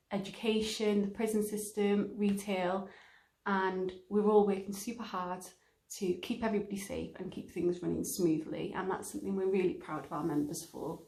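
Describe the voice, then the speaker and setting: A woman's voice: medium pitch, vocal fry, darkish tone. A young woman from Wales giving a spoken introduction to camera as a member of an amateur theatre company. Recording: roomy indoor echo.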